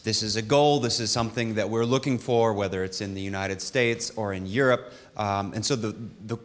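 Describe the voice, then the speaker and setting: Male audience questioner, slightly low voice, direct and measured. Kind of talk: plain question at a town hall.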